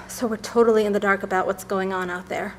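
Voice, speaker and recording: A woman's voice: mid-range voice, steady tone, fairly quick, background noise, natural voice. An actress playing a resolute, protective young mother and leader, firm and decisive, in an audio drama. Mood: concerned, probing.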